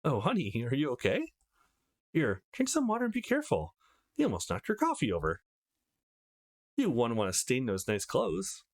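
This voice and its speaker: A man acts out a motherly older woman from a visual novel. She fusses gently and reassures, kindly and a little wistful, with pitch that moves up and down a lot. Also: wildly swooping pitch, normal speed, pauses often, dry recording, studio quality. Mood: fussing. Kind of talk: visual novel character reading.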